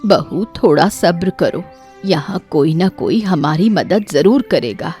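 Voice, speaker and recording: A woman's voice: lowish voice, animated, takes it slowly, warm tone, faint music behind. A woman voicing a kind motherly mother-in-law in a moral story, patient and comforting. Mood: resolute, patient.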